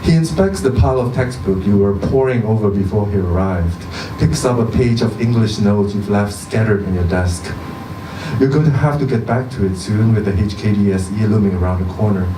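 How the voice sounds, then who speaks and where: A man giving a measured, deliberate live reading of a short story, with pauses between phrases. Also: lowish voice. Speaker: reader at a literary event. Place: indoor social venue, live event. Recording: distant mic, echoey venue, background hum.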